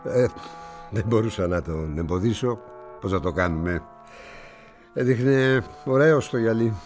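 A man's voice: lowish voice, expressive, a little mellow, soft background music, close to an everyday voice. Man playing a cynical, theatrical newspaper publisher. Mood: dismissive, assured. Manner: theatrical, patronizing, with musing pauses. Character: worldly, cynical, condescending older male newspaper publisher. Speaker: actor. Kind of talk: radio drama dialogue.

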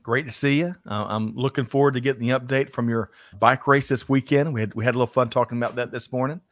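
A man's voice: mid-range voice, steady tone, fast, fairly bright tone, no echo, clean studio sound. A man hosting a casual business talk show, introducing guests with upbeat energy and frequent laughter. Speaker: podcast host.

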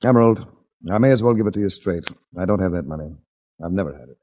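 Hard-boiled insurance investigator, 1940s radio: low register, dry wit, clipped and tough. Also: male voice, fast, a little mellow. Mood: cool, confronting. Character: tough, wry male insurance investigator hero. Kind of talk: 1940s radio detective drama, hard-boiled narration and dialogue.

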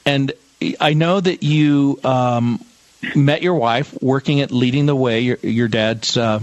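A man's voice: medium pitch, measured pace, no echo, rough recording. A man hosting an interview, reading out the guest's background before asking his questions. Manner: warm interjections, reads facts before asking. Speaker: interview host.

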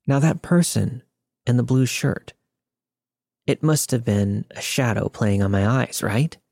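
A man's voice: mid-range voice, unhurried, vocal fry, darkish tone, no echo, studio quality. A man reading a scripted first-person scary story as a podcast narrator. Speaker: podcast host reading listener stories.

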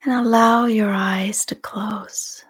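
A woman guides a meditation in a very breathy, whispery voice. She speaks slowly and calmly, leaving long pauses between soft, soothing instructions. Her pitch sits in a middle range for a woman. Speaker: meditation guide and podcast host. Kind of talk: guided meditation, slow scripted narration.

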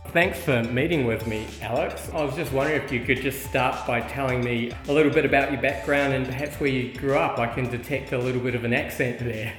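A man hosting a podcast, reading a measured intro then asking polite questions. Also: medium pitch, steady tone, fairly bright tone, soft background music. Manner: measured, polite, many short backchannels. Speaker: podcast host, interviewer. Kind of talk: scripted intro then interviewing.

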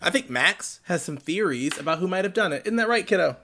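A man playing a well-meaning, awkward middle-aged father figure in an audio drama, rambling and stammering as he tries to smooth things over. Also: sits high, lively intonation, a little fast, sharp tone, slight background noise, heavily acted. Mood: friendly, chatty. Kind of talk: naturalistic audio drama acting.

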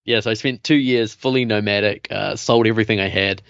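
A man in his thirties with a New Zealand accent, telling his story as a podcast guest in a relaxed way with many fillers. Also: fairly low pitch, brisk, vocal fry, no room sound, highs cut off. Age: 30s. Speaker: guest, coach. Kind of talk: casual interview chat.

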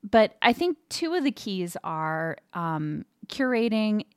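A woman's voice: medium pitch, big pitch swings, slow pace, a little mellow, dry recording, clean studio sound. A woman chatting casually as a co-host on a podcast. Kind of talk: casual conversational podcast talk.

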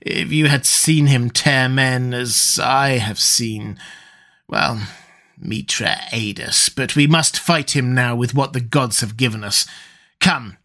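A man's voice: mid-range voice, slow pace, bright, forceful tone. A man voicing a scheming middle-aged priest who is gripped by fear, with a raised, theatrical, urgent delivery. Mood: desperate.

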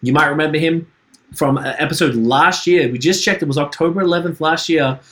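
A man in his thirties with a Trinidadian accent, hosting a casual podcast chat, relaxed and laughing, swearing freely. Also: fairly high pitch, a little fast. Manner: laid-back, swears freely, laughs often. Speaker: podcast host and musician.

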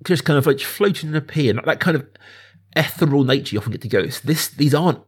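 Smooth-voiced man in his thirties, enthusiastic. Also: on the high side, expressive, fast talker. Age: 30s. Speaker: film podcast host. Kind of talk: casual film discussion.

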